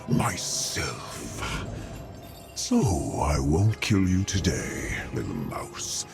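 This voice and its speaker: A man playing a haughty, brooding, menacing inhuman ruler in a video game, speaking with slow theatrical disdain and breathy, gravelly edges. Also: sits low, heavily acted. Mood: cold satisfaction. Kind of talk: video game cutscene acting. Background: loud music.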